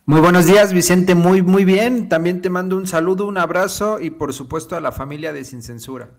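A man's voice: high-pitched, fairly quick. A man giving political commentary as a guest analyst over a headset mic, emphatic and filler-heavy. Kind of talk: political commentary on video call. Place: home study with bookshelves. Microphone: headset with boom mic.

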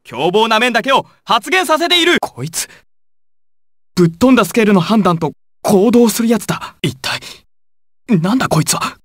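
An actor playing an intense, volatile young male gangster with a husky voice in dramatic video game dialogue. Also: high voice, very animated, normal speed, no room sound, studio quality, full character voice. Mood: firm, menacing. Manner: dramatic, swinging from controlled analysis to urgent shouting. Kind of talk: dramatic Japanese game dialogue and battle clips.

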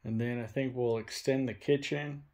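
A young man filming a ghost-hunting vlog in a dark abandoned farmhouse, talking to spirits and loudly challenging them. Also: medium pitch, fairly even intonation, normal speed, slight background noise. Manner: loud provoking challenges to the spirits. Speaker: paranormal investigator.

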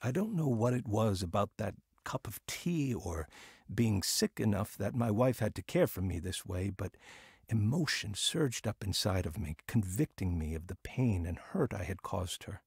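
Troubled family man, gravelly, slightly husky, close dry sound, audio drama. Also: on the low side, expressive, normal speed, darkish tone, no echo, exaggerated voice. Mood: reflective narration. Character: proud, remorseful husband and father recounting his life. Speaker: lead actor and first-person narrator. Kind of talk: dramatised testimony radio drama.

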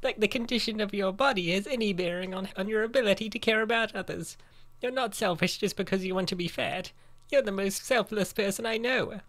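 A woman voicing a kind, supportive princess friend in a comic dub, gentle, earnest and reassuring. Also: mid-range voice, natural voice.

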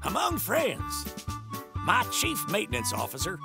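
Creaky, swooping Southern cowboy showman. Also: high voice, huge pitch swings, a little slow, loud music behind the voice, full character voice. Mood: showy, welcoming. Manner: hammy showman's announcing. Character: folksy, boastful Southern cowboy restaurant owner, showman. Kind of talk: comic dub voice acting.

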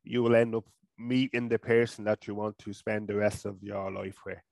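A man in his thirties with an Irish accent, talking in a casual interview and stuttering, halting and restarting often, into a headset mic in a small room at home. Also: fairly low pitch, little pitch movement, creaky, a little mellow, no echo. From Ireland. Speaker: guest.

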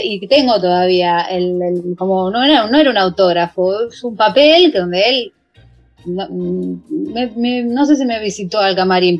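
Argentine actress on a video call. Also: female voice, medium pitch. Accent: Argentine Spanish. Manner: animated, many restarts and fillers. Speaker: guest, musical theatre actress. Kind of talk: casual video-call interview. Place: home room with bookshelves.

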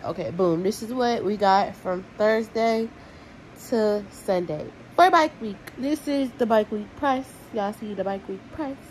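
A woman's voice: mid-range voice, a little slow, a little hiss or hum, rough recording. A young woman, chatting casually and bluntly to her vlog audience while tallying money aloud. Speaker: vlogger. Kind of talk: casual vlog talk to camera.

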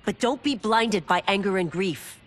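A woman's voice: mid-range voice, normal speed, natural voice. A woman voicing a resolute guard captain. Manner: earnest, resolute. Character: loyal determined female guard captain.

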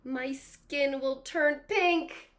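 Woman voicing an excitable, dramatic storybook crocodile, high-pitched. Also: full character voice. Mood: alarmed, panicking. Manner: dramatic, exclaiming, drawn-out words. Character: excitable, panicky young male crocodile who loves watermelon. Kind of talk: children's storybook read-aloud.

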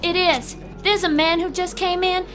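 A chatty female clerk, with an extremely high voice. She is polite and a bit fretful, in an audio drama with music playing behind. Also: fairly even intonation, normal speed. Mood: concerned, insistent. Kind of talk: science fiction audio drama acting.

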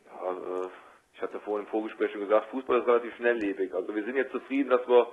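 A man giving a spontaneous sports interview over the telephone as a football coach, measured and matter-of-fact with hesitations. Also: on the low side, slight background noise. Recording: tinny, band-limited telephone line.

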